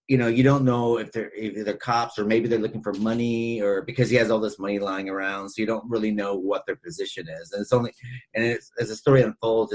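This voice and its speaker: Male podcast co-host, lower-pitched, loose and rambling conversational delivery. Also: poor audio. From northern New York State, United States. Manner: rambling, often says "you know". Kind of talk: casual film discussion.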